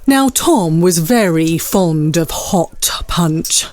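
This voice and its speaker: A woman's voice: fairly low pitch, expressive, drawn-out words, a little mellow, dry recording. A woman narrating a classic story as scripted, dramatised literary storytelling.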